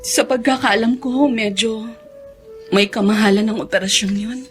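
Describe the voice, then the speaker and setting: A woman acting a worried, devoted adult daughter in a Filipino radio drama, earnest and gentle. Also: fairly high pitch, steady tone, moderate pace, soft background music, natural voice. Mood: worried, pleading.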